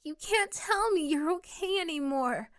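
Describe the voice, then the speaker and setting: A woman's voice: very high voice, expressive, unhurried, fairly bright tone, no room sound, studio quality, voice pushed up, full character voice. A woman playing a bossy but caring young tsundere friend in an ASMR roleplay, insistent, fussing and tender. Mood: concerned, coaxing.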